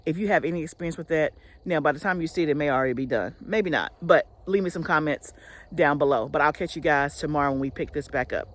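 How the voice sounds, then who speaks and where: A woman's voice: low-pitched, quick pace. Middle-aged woman, homestead vlogger, casual chat, y'all to viewers, phone mic at arm's length, outdoors in a field. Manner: chatty, keeps addressing viewers as y'all, frequent restarts. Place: outdoors on a farm field by a garden fence. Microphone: phone held at arm's length.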